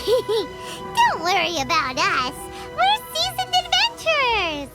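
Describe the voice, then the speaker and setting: A woman voicing a tiny, childlike, plucky fairy companion in a video game, with a squeaky, perky delivery. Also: wildly swooping pitch, slow pace, loud music behind the voice, exaggerated voice. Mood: plucky, confident. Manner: perky, refers to self by name. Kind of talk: cartoonish English game voice acting.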